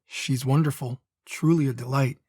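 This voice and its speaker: Casting: male actor for devoted warrior son, formal and husky, mid-range, close dry sound. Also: mid-range voice, fairly even intonation, normal speed, warm tone, no room sound, close to an everyday voice. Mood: respectful. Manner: formal, deferential.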